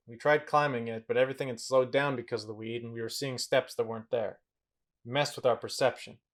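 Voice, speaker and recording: An actor playing a restless, observant young romantic, narrating in a measured, introspective way for an audio drama. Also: male voice, mid-range voice, close to an everyday voice.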